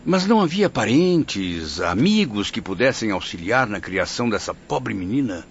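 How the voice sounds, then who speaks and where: Indignant, wildly swooping Brazilian listener. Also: male voice, lowish voice, sing-song, normal speed. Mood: appalled. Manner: exclamatory, aghast questioning. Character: indignant, emotional male listener appalled by cruelty. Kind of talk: melodramatic radio drama acting. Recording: dull lo-fi, faint background noise.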